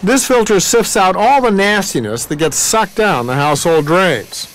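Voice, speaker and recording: A man hosting a home renovation show, asking quick joking questions outdoors and narrating voice-over. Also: sits high, lively intonation, a little hiss or hum, low-quality recording. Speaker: TV host. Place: outdoor backyard.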